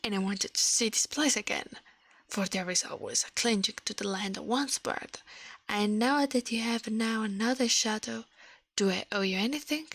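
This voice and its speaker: A woman voicing an elegant, self-assured shadow gentleman from a storybook, formal and boastful, in a soft breathy voice. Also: a little high, moderate pace, no echo.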